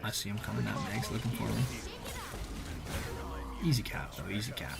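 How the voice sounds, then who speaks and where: A man's voice: fairly low pitch, lively intonation, normal speed, vocal fry, loud music behind the voice. A man giving casual, slangy, conversational voice-over commentary on video gameplay, as a gaming video creator.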